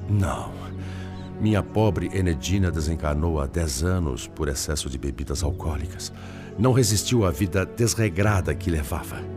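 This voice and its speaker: A low male voice with expressive swings plays an anguished spirit in a Brazilian radio drama. He speaks formally and eloquently with a Brazilian accent, weighed down by sorrow. Music plays clearly behind him. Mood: bitter, mournful. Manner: formal, eloquent, sorrowful, halting when troubled. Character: Pedro, anguished male spirit, once a principled lawyer.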